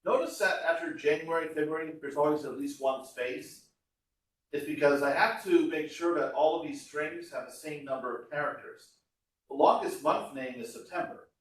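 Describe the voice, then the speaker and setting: A man's voice: fairly high pitch, moderate pace. A man giving an informal classroom lecture, explaining code step by step in a conversational way as a university instructor. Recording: echoey room, voice far from mic.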